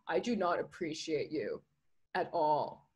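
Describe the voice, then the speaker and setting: Weary worker, indignant, stiff, measured delivery, story character, voiced by a woman. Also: low-pitched, very animated, a little mellow, husky, muffled recording, voice pushed down, full character voice.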